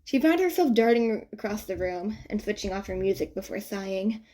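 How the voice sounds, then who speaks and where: A woman narrating a written story in an expressive, informal and wry way. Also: mid-range voice, moderate pace, rough recording. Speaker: fan fiction narrator.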